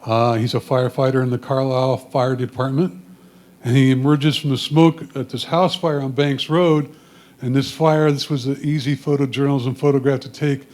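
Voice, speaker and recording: A man's voice: mid-range voice, flat delivery, normal speed, a little mellow. An American man gives matter-of-fact, semi-scripted commentary on his photographs, explaining them as he goes. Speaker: club photographer presenting own photos.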